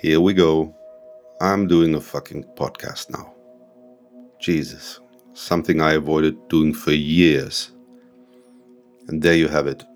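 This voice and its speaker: Very deep-voiced man hosting. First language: German. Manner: candid, self-deprecating, swears casually. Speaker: podcast host, music producer. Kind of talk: solo podcast monologue.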